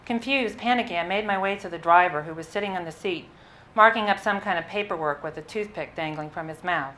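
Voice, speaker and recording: A woman with a slightly low voice reads a personal narrative aloud as its writer. Her delivery is measured and expressive, shaped for a live literary reading, with an occasional stumble over a word. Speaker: writer reading own story.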